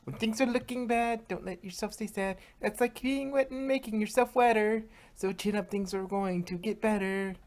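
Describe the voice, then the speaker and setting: A woman's voice: mid-range voice, normal speed, few pauses, a little mellow, natural voice. A woman voicing a bubbly, earnest female cartoon pony who encourages in a sing-song style. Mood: encouraging, sing-song.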